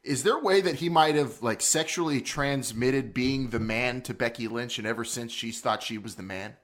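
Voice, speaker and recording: A man's voice: mid-range voice, moderate pace, a bit bright. A man hosting a casual wrestling talk podcast, joking and rambling with frequent restarts. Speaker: podcast host.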